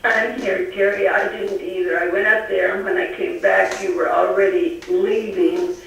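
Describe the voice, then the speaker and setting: A woman's voice: mid-range voice, steady tone, normal speed, creaky. American lady on ham radio, casual chat, super echoey and a little far from the mic. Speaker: amateur radio operator. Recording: very echoey, distant radio link.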